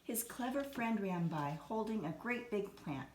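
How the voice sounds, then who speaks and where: A woman reading a children's story aloud in an expressive, deliberate storytelling manner. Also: medium pitch. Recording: roomy echo, some background noise.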